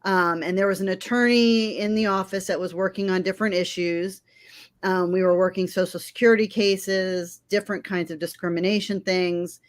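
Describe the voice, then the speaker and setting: A woman's voice: mid-range voice, steady tone. Friendly woman in her fifties, lawyer. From Florida, United States. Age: 50s. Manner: friendly, measured, with frequent ums. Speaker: legal aid attorney. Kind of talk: interview answers.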